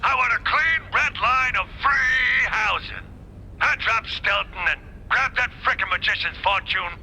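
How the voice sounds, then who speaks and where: Brash cartoon crook of a politician, high and piercingly sharp, boastful, faint background noise. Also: male voice, measured pace, heavily acted, poor audio. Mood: ranting. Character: scheming, ruthless, fast-mouthed corrupt politician mouse villain. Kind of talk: 1930s noir cartoon acting.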